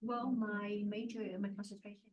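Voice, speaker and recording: A woman's voice: medium pitch, little pitch movement, normal speed, a little hiss or hum. A woman from Vietnam, a graduate student who answers in friendly, careful, simple phrases. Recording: distant, dull classroom audio.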